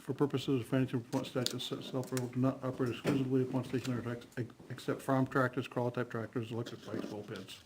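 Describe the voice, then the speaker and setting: A man's voice: medium pitch, flat delivery, fast talker, few pauses, noisy background. A man on a town planning board, reading legal definitions aloud and discussing them at a public meeting.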